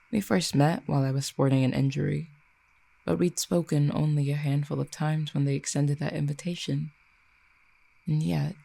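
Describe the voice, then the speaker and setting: Someone playing an old immortal fallen cupid for audio rp, soft dark voice w lots of fry, fancy measured talk, wry. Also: mid-range voice. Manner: formal, eloquent, measured archaic phrasing. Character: ancient fallen cupid, poised, wry, quietly menacing lover. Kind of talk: roleplay audio drama, addressing the listener.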